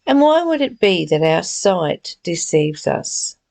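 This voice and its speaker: Woman with slow, expressive spiritual teaching delivery. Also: lowish voice, creaky. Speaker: reader and spiritual study teacher. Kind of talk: read-aloud spiritual text with reflective, informal commentary.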